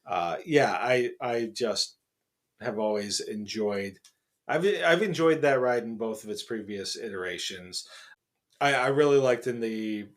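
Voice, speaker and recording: A man's voice: on the low side, measured pace. Middle-aged male co-host, casual and rambling, fills gaps with ums. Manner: rambling, many fillers and restarts. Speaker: podcast co-host. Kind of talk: casual chat.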